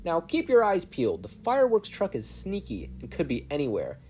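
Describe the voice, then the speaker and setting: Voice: medium pitch, wildly swooping pitch, fairly quick, a little mellow, slight background noise, voice pushed up. A performer voicing a bold, absurdly serious female superhero squad leader in a story reading. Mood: bold, commanding.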